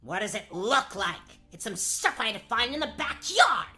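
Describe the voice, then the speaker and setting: A woman's voice: fairly high pitch, very animated, moderate pace, faint music behind. A woman playing a wicked, short-tempered stepmother who nags and scolds in a comedic fairy-tale radio play. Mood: furious. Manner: scolding, exasperated, forceful.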